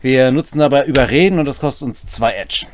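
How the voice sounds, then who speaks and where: A man's voice: medium pitch, fast talker, slight background noise. A middle-aged man casually explains game rules, adding wry, colloquial asides. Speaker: host of a tabletop role-playing rules series. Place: small furnished room at home, indoors.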